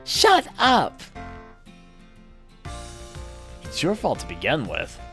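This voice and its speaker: A rude young university girl from a visual novel, acted out by a man, bossy and scornful. The voice is pushed high and loud, snapping out each line over background music. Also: wildly swooping pitch, a little slow, exaggerated voice. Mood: angry.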